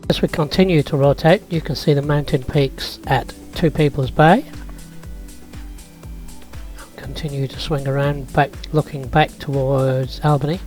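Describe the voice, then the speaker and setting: A man, hoarse and croaky from being sick, casually narrating a road trip and drone flight with easygoing fillers. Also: a little high, steady tone, normal speed, few pauses, darkish tone, soft background music. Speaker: vlog host. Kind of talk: casual travel vlog narration.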